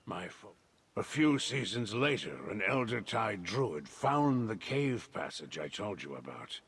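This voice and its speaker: An actor playing a proud, wary druid in a fantasy video game, speaking gravely and solemnly with a rough-edged voice. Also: male voice, fairly low pitch, big pitch swings, measured pace, fairly bright tone, exaggerated voice. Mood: grim. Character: wary, proud male druid of an island circle, grave and guarded.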